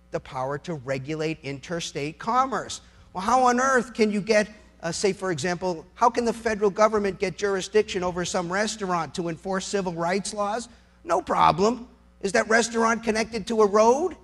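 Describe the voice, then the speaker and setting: A man's voice: high-pitched, a bit bright. A man speaking as a lawyer giving a persuasive public talk, emphatic and incredulous, full of rhetorical questions. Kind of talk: persuasive political speech on law, with anecdotes and rhetorical questions.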